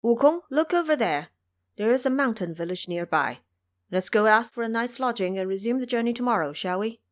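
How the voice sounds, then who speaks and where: A woman voicing a gentle, earnest male monk master who speaks politely and a little fretfully, in an audio drama. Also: a little high, expressive, brisk, close to an everyday voice. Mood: suggesting.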